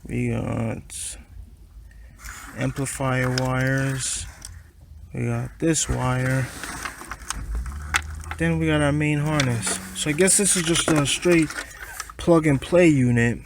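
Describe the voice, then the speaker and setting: A man's voice: medium pitch, fairly even intonation, slow pace, creaky, long pauses. A man presenting an unscripted hands-on installation tutorial, talking informally through each step as he works. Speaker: car audio installer, presenter. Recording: noisy, clicks and handling of parts.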